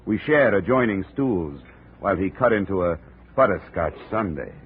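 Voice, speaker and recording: Sardonic hard-boiled investigator, deep voice, dry narration, tinny old radio sound. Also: male voice, expressive, moderate pace. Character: wry, hard-boiled male insurance investigator. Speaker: narrator and lead. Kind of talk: 1950s radio drama acting. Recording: tinny old radio broadcast, faint hiss.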